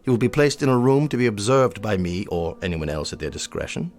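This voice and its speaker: Deep-voiced, haughty old colonel. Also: male voice, very animated, brisk, darkish tone. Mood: formal, challenging. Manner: formal, precise, disdainful delivery. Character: haughty, contemptuous wealthy old club colonel. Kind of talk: radio drama acting.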